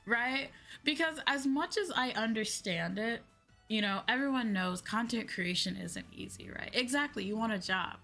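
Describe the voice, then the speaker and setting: A woman streamer chatting casually and answering viewers in an animated, conversational way, often repeating phrases for emphasis. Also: fairly low pitch. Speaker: VTuber streamer; high school teacher. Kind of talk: casual livestream chat, replying to viewers. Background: background music.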